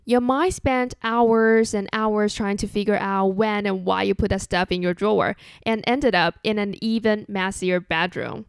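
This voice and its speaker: A young woman with a Chinese accent hosting a solo podcast in an earnest, measured, relaxed way. English is not her first language. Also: mid-range voice, vocal fry, smooth, no room sound, studio quality. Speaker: podcast host.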